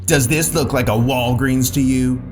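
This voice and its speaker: A man voicing a cranky, panicky homeowner, rude and excitable, exclaiming in a comic story over music. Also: moderate pace, natural voice. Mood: angry. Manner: exclaiming, jumping high in pitch. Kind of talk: comic audiobook character voice.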